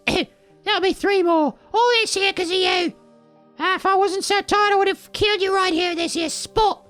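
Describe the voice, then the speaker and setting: Shrill grumpy old village man, folksy and threatening. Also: bright, forceful tone, heavily acted. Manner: snarling, rustic folksy speech.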